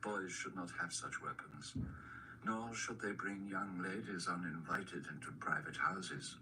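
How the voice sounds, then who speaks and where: An actor playing a sinister, courteous servant of a dark master in a radio drama, speaking formally with mock-polite menace. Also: male voice, deep voice, measured pace. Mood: gloating. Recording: noisy replayed radio drama recording.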